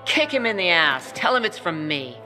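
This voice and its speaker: An actor playing a tough peacekeeper commander who speaks in a gruff, curt way. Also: medium pitch, sing-song, moderate pace, music in the background, natural voice.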